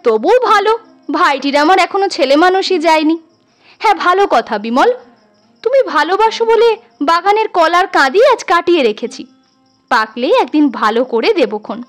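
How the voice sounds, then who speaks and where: A woman's voice: extremely high pitch, big pitch swings, normal speed, soft background music, pitched above the performer's own voice. A woman voicing a doting, sentimental village sister-in-law in a story reading, affectionate and emotional. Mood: fond, concerned.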